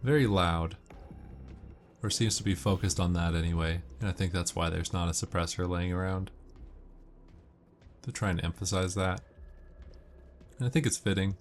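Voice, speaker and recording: Low-voiced man giving game tips. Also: moderate pace, long pauses, slight background noise. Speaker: gamer giving walkthrough commentary. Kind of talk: casual game commentary with tips.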